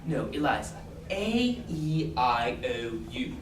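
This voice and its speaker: A woman's voice: medium pitch, big pitch swings, normal speed, close to an everyday voice. A woman playing a bossy, superior male professor in a stage musical, drilling commands in a clipped manner. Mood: impatient. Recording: echoey stage, mic far off, noise in the background.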